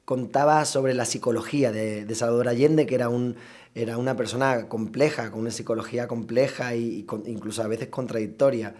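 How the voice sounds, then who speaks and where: A man, a stage actor, talking reflectively in an interview, thoughtful and halting as he repeats and corrects his words. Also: medium pitch, fairly even intonation, a little mellow. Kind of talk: reflective interview talk about stage work.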